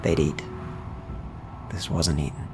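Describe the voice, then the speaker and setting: A man narrating a dark fantasy game as game master, in a dramatic, deliberate, suspenseful style addressed to the players. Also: a bass voice, fairly even intonation, music in the background. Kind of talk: atmospheric second-person fantasy narration.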